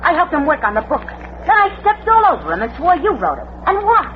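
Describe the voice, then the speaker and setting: A woman's voice: high voice, huge pitch swings, a little fast. An actress playing a scorned, hard-boiled, slangy woman who threatens and pleads in a radio melodrama. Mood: bitter, pleading. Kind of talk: 1940s radio crime melodrama. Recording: noisy, dull vintage radio recording.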